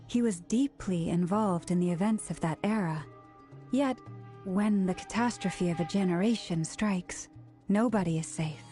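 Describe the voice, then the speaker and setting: A woman voicing a calm, earnest, formal young woman in a video game, speaking in a measured, courteous and precise way. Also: lowish voice, faint music behind, close to an everyday voice. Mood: measured, grave.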